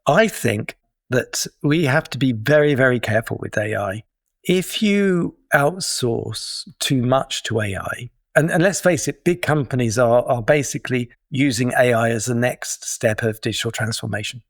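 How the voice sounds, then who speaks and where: Middle-aged British man, podcast guest, measured and explanatory, mid-range voice, dry studio. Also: mid-range voice, no echo. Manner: thoughtful, explanatory, with small restarts. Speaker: guest, digital detox coach. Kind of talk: casual interview talk.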